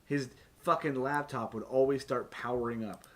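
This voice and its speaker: A man's voice: medium pitch, fairly even intonation, normal speed, a bit bright. A man hosting a tabletop game stream as game master, talking casually and jokily with plenty of swearing. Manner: profane, joking, laughs often.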